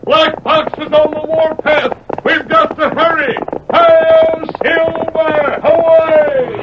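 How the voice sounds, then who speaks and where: An actor playing a heroic masked cowboy rider, calling out loudly in a drawn-out way in a 1940s radio drama. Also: male voice, very high voice, level tone. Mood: urgent, heroic. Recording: distant, echoing, muffled 1940s radio, loud music.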